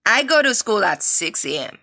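A woman reading scripted English dialogues and exercise questions for a learners' audio book, clear and deliberate. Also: medium pitch, big pitch swings, sharp tone. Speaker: textbook audio reader.

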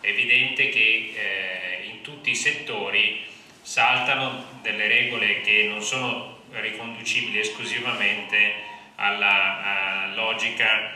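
A middle-aged man giving a public talk in halting, reflective phrases. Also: fairly low pitch, fairly even intonation, bright, forceful tone. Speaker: panel speaker. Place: indoor conference hall. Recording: echoey room, distant mic, faint noise.

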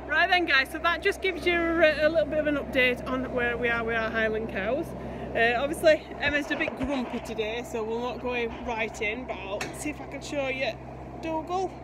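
A woman's voice: sits high, moderate pace. A woman in her forties, a farmer, chatting to the camera in a casual walk-and-talk vlog from a livestock barn, filmed on a phone held at arm's length. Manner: chatty, talks to the camera while walking between animal pens. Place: livestock barn, partly outdoors in a farmyard. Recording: noisy phone video with farmyard sounds behind.

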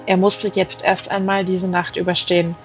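Audiobook narrator for fantasy fiction, medium pitch, slightly dull recording with music behind. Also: little pitch movement, normal speed. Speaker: audiobook reader. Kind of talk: scripted narration of a fantasy novel.

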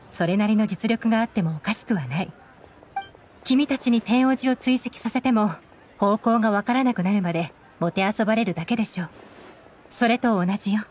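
A woman's voice: on the high side, a little mellow, a little hiss or hum, close to an everyday voice. A woman voicing a composed, assured female intelligence officer in a Japanese video game.

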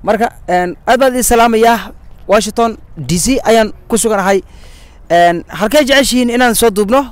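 A Somali man hosting a street vlog outdoors, speaking halting, broken English and Somali with a Somali accent. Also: sits high, smooth, no room sound. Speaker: vlogger host. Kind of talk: casual street vlog interviews. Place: outdoors at a city monument.

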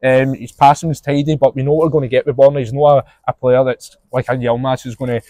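Young Scottish man, podcast co-host, rapid eager questions, lapel mic outdoors, dry. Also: mid-range voice, level tone, dark tone, no echo. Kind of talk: casual football chat. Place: outdoors in an empty stadium stand.

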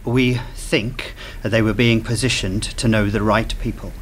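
A man's voice: on the low side, steady tone, unhurried, a little hiss or hum, lightly acted. A man playing a guarded intelligence official delivering a cool briefing in a radio drama. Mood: guarded, dry. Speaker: actor in a radio play.

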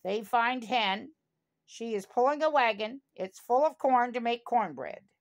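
An older woman reading a children's story aloud in a deliberate manner, with small asides to the listener. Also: a little high, expressive. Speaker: storybook reader.

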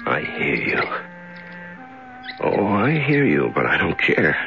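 An actor playing a weak, despairing prisoner in a radio drama, rambling and halting with bitter resignation. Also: male voice, rumbling low, huge pitch swings, creaky, music in the background, heavily acted. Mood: weary, resigned. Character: weak, despairing male prisoner talking to a mouse. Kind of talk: 1970s radio drama acting. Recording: dull vintage radio recording.

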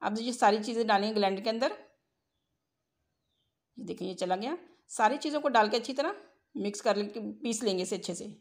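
High-voiced woman narrating recipes. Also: fairly quick, pauses often. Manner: step-by-step, instructional. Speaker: home cook hosting a cooking channel. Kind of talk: recipe tutorial voice-over.

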